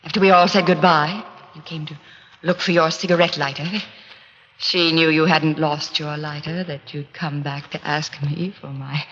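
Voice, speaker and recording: A bright, forceful scheming mistress. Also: female voice, low voice, fairly even intonation, normal speed. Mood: seductive, conspiratorial. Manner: theatrical, commanding, insistent. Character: scheming, imperious wealthy mistress plotting murder. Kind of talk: 1940s radio melodrama acting. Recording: dull, noisy old radio recording, reverberant.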